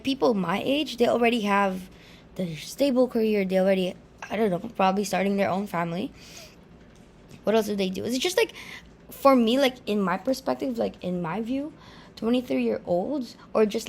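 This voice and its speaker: Rambling, self-mocking woman with vocal fry. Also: on the high side, a little hiss or hum. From Philippines. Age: 20s. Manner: chatty, distractible, self-mocking, filler-heavy. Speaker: vlogger. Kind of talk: casual rambling chat to camera. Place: room in the Philippines.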